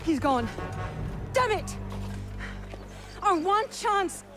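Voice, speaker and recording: A woman's voice: very high voice, very animated, extremely slow, over loud music, heavily acted. An actress playing a fierce, vengeful young woman in a video game, urgent and cutting. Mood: angry, bitter. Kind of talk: video game cutscene acting.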